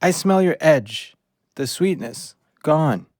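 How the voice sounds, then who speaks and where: An actor playing an eager, devoted pet dog in an audio play, speaking in short, simple phrases. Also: male voice, fairly high pitch, lively intonation, slow, darkish tone, dry recording, clean studio sound. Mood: concerned. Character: eager, devoted pet dog watching over his human. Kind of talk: audio drama acting.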